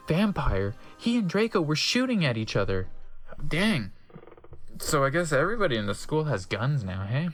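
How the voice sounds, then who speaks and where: A narrator giving a dramatic, comedic reading of a story with asides. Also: mid-range voice. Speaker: narrator, reader.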